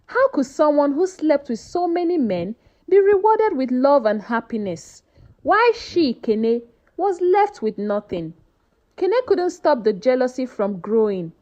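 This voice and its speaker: A woman narrating a folktale as a scripted story, with an expressive, dramatic delivery. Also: high voice, a little slow, dull audio.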